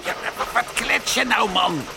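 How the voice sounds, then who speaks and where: An actor playing a rough, indignant burglar in a radio drama, stammering in coarse street slang. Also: male voice, high voice, moderate pace, over music. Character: rough, indignant male burglar, blustering when cornered. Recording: noisy radio drama recording.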